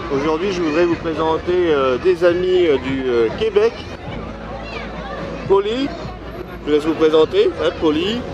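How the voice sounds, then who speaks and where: A man's voice: fairly high pitch, measured pace, pauses often, lo-fi sound. Eager male travel host on a noisy street. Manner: hesitant, many fillers and restarts; enthusiastic, laughs often. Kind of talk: casual street interview. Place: busy city street outdoors. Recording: loud street crowd noise. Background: crowd and traffic.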